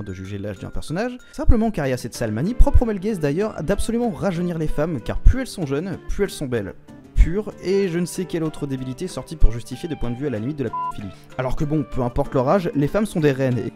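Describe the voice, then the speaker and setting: A man delivers scripted commentary over background music. His voice is mellow and very lively in pitch. He argues quickly and emphatically, with casually crude turns of phrase. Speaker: host of a manga debate show. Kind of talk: scripted opinion commentary staged as a mock trial. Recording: music behind the voice.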